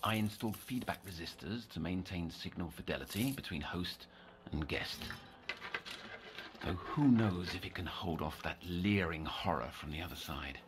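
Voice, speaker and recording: An actor playing an obsessive, scheming psychiatric counsellor in an audio drama, speaking in formal, measured phrases that slip into whispers. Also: male voice, low voice, natural voice. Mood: grave, reflective. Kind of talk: audio drama monologue and dialogue.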